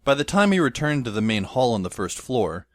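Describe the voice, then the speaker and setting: Man playing a wry, animated schoolboy narrator. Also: mid-range voice, fairly quick, dry recording, faint music behind, lightly acted. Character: wry, skeptical teenage schoolboy narrating his own story. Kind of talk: first-person audio drama narration.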